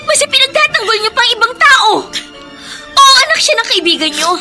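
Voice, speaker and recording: A woman's voice: very high voice, big pitch swings, normal speed, over music. A woman with a Filipino accent playing a hot-tempered, protective young daughter in an emotional radio drama. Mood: indignant. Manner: emotional, complaining.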